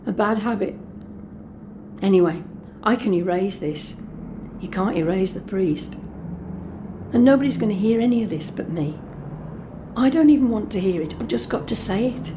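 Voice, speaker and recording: Mellow, sardonic young British woman, played by an actress. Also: mid-range voice, slight background noise, close to an everyday voice. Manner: confessional, sardonic, muttering asides to herself. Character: jaded, snobbish, secretive young woman confiding her private thoughts. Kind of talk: intimate audio drama monologue.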